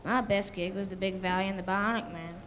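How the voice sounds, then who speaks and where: A woman's voice: medium pitch, fairly even intonation, a little fast, a bit bright, lightly acted. A female performer playing a modest, self-deprecating male television star in a scripted school radio show. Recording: echoey hall, faint noise.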